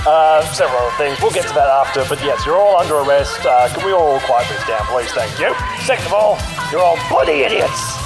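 Man playing a bossy police chief, pitched up, projecting. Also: animated, brisk, hardly any pauses. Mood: officious, exasperated. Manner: officious, rambling announcements. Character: bossy, exasperated male police chief on a megaphone. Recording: music behind the voice.

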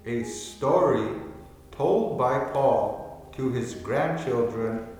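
A man's voice: medium pitch, expressive, very slow, a little mellow. A man reading a scripted introduction as the announcer of a radio play. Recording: echoey, distant, music behind.

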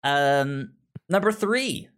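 A podcast co-host chatting casually about a show, haltingly, often repeating starts while searching for words. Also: medium pitch, animated, fairly bright tone, clean tone. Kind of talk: casual chat recapping a TV show.

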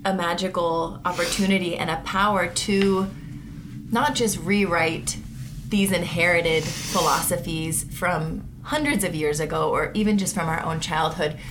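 Animated questioning woman co-host. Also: on the low side, measured pace, noisy background. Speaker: podcast co-host. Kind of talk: casual conversational chat.

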